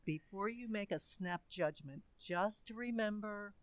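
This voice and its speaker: An actress playing a brisk, pushy middle-aged wife, insistent, in old-time radio comedy style. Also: female voice, mid-range voice, no echo, lightly acted.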